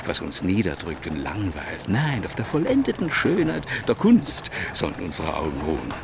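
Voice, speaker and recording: A self-absorbed baroque monarch in a radio play. His fairly deep voice swoops with great animation. He is pompous and effusive, with some background noise around him. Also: wildly swooping pitch, moderate pace, vocal fry, heavily acted. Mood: lofty, philosophizing. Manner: pompous, gushing, florid, talks over others. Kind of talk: German radio drama acting.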